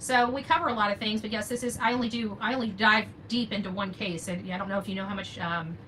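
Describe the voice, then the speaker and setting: A woman's voice: medium pitch, fairly even intonation, fast talker, sharp tone, slight background noise, low-quality recording. An older woman hosting unscripted opinion commentary, emphatic and repeating key phrases for stress. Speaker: true-crime commentary host.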